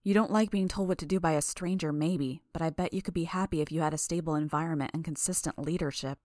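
A stubborn, earnest teenage girl, voiced by a female audiobook reader. She argues insistently and defiantly. Her voice is slightly low and recorded very dry. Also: fairly even intonation, fast, darkish tone, no room sound, natural voice. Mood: reasoning. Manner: insistent, argumentative. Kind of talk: dramatised audiobook dialogue.

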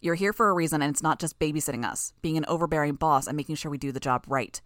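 A woman's voice: sits low, fast talker, fairly bright tone, no echo. A woman voicing a lazy, sardonic teenage boy villain who speaks in a dry, mocking drawl.

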